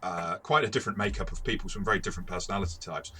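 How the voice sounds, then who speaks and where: A man co-hosting a casual review discussion, explaining things in a measured way. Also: low-pitched, sharp tone, soft background music. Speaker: co-host and game master.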